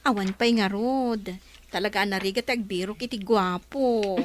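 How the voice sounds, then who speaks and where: A woman's voice: medium pitch, unhurried, noisy background, lightly acted. A woman acting as a teasing, chatty female friend in a comedy-drama radio play.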